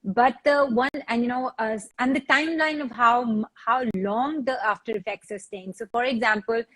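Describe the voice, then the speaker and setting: A woman's voice: on the high side, normal speed, fairly bright tone, poor audio. A woman, explaining as a fitness trainer on a discussion panel, repeating words as she thinks aloud. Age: 40s.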